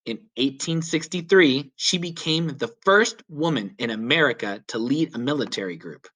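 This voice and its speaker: A man narrates a story for young listeners in the style of a teacher reading aloud. His voice sits at a medium pitch and moves up and down in a lively way. He phrases deliberately, leaning on key words for emphasis. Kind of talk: children's read-aloud narration.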